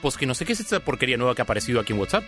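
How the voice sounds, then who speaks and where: A man's voice: mid-range voice, big pitch swings, racing, a bit bright, faint music behind. A man voicing a joking Mexican man with an exaggerated, sing-song Mexican accent. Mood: mocking. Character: jokey Mexican man complaining.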